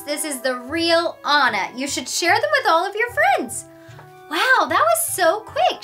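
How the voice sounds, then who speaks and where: Girlish cartoon princess, played by a woman, extremely high, swooping delivery, sweet and exclamatory. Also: bright, forceful tone, full character voice.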